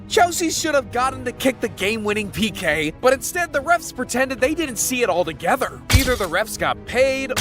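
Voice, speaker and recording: A high-voiced man delivers hyped, scripted sports storytelling. His pitch swings widely as he leans on key phrases for drama and drops in slangy jokes. Music plays clearly behind him. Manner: dramatic emphasis with slangy, joking asides. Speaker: narrator of a sports video essay.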